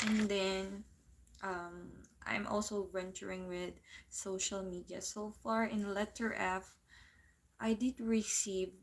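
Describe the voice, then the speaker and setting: Slow, even-voiced Filipino woman. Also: medium pitch. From Philippines. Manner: unhurried, searching for words, reads viewer comments aloud. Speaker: livestream host and part-time English teacher. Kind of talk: casual livestream chat.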